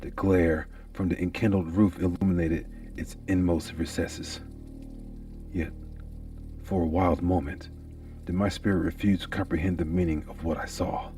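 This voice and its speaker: A man's voice: sits low, steady tone, normal speed, mellow, far from the mic, soft background music. A man giving a theatrical, anguished and intense first-person reading of a gothic horror story.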